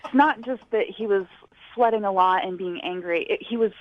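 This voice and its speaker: A woman's voice: medium pitch, moderate pace. A woman speaking candidly as a guest over the phone, tactful and hesitant, hedging as she recounts things. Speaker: phone-in guest on a radio segment. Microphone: phone call. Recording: muffled, band-limited phone line.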